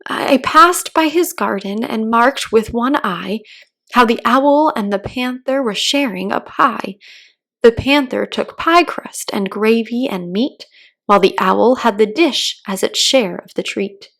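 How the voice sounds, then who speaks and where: A woman's voice: on the high side, measured pace, gravelly, a bit bright, heavily acted. A woman voicing a timid, polite little girl who speaks earnestly, as if reciting, in a children's storybook. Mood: uneasy reciting. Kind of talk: children's storybook read-aloud.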